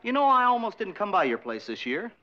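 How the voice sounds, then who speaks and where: A deadpan, unimpressed buyer. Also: male voice, sits high, quick pace. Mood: dry. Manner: dry, deadpan, blunt. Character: blunt businessman buyer, dry and unimpressed. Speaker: produce buyer. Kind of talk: 1960s sitcom acting. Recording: dull lo-fi 1960s TV soundtrack with music.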